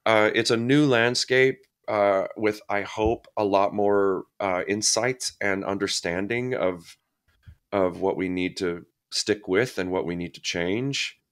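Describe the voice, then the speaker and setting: A middle-aged man, a guest actor, talking reflectively in a casual interview, often pausing and restarting his sentences. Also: lowish voice, fairly bright tone, smooth. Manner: reflective, halting, many restarts and fillers.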